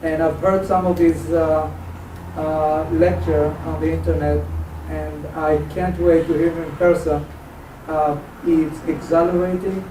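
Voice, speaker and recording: Brief: male event host, halting semi-formal introduction, fairly high monotone, distant pickup with clear noise. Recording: echoey, distant, noisy room.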